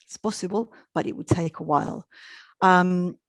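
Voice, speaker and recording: A woman's voice: on the low side, steady tone, normal speed, no room sound. A middle-aged woman from Chile, presenting a live online travel talk in a chatty way, with frequent ums and restarts. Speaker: travel expert presenting a webinar.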